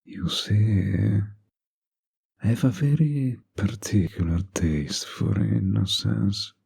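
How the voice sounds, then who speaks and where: Man playing a low, gravelly, silky villain. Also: animated, darkish tone, dry recording, clean studio sound, heavily acted. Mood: intimate, seductive. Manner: slow, silky, teasingly polite, condescending. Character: suave, manipulative male villain flirting with his enemy. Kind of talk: flirty roleplay audio acting.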